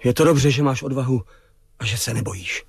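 A man's voice: medium pitch, gravelly, slightly rough, dry recording, studio quality. A man playing a brave, loyal young sailor in a dramatised story, firm and protective in manner. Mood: approving. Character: brave, loyal young male sailor defending his friend.

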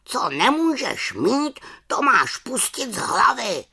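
A woman's voice: on the high side, very animated, gravelly, pushed and bright, slightly rough, pitched above the performer's own voice, full character voice. A woman voicing a wise, nagging male raven in a children's storybook, scolding and squawking as it talks. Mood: lecturing. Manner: scolding, exclaiming, squawking delivery.

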